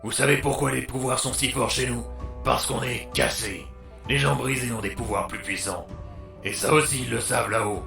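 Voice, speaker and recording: A man acting a gravelly, bitter doctor in a roomy space. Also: medium pitch, normal speed, music in the background. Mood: bitter, intense. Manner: blunt, sardonic, crude slang. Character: bitter, worn-out, foul-mouthed male doctor with superpowers. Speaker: voice actor. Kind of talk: audio drama acting.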